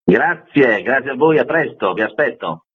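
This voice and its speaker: Very husky deep man on phone line. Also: expressive, normal speed, faint music behind. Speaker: festival artistic director. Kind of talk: radio interview by phone. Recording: tinny, narrow phone-like line.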